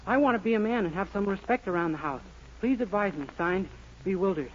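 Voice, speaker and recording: A man's voice: sits high, brisk, close to an everyday voice. An actor playing a naive, earnest young man with an innocent, guileless manner in a 1940s radio sitcom. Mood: reciting earnestly. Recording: muffled, noisy old radio recording.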